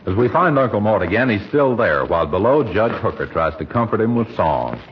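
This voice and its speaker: Lively male old-time radio announcer. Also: on the low side, brisk. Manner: polished, emphatic salesmanship. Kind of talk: scripted 1940s radio commercial and narration. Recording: dull old radio recording, faint noise.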